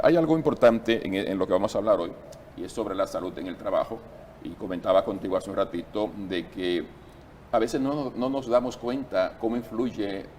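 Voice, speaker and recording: A man's voice: deep voice, a little mellow, slight background noise. A man hosting an interview show, speaking conversationally with occasional fillers. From Caribbean. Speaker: TV show host.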